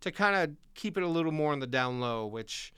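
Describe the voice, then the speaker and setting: An American man playing Santa Claus as a kindly, chatty old man hosting a casual interview, gentle and reassuring. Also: a little high, expressive, fairly quick, clear, resonant tone, dry recording. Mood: chatty, fond. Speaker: podcast host.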